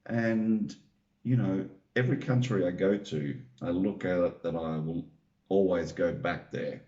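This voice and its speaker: Deep-voiced man on a video call. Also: measured pace, mellow. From Australia. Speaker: interview guest. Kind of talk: casual interview answers. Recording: roomy, dull video call audio.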